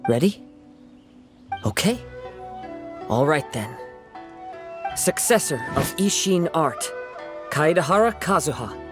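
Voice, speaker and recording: Game role: courteous young wandering swordsman, gentle and calm, lively intonation, music underneath. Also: fairly high pitch, takes it slowly, pauses often. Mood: formal, composed. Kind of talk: anime video game dialogue. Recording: music behind the voice.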